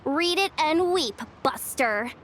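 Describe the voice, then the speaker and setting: A woman's voice: sits high, animated, takes it slowly, a bit bright, a little hiss or hum, heavily acted. A woman voicing a plucky young woman organiser who likes to bluff tough, in a video game. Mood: tough, cocky.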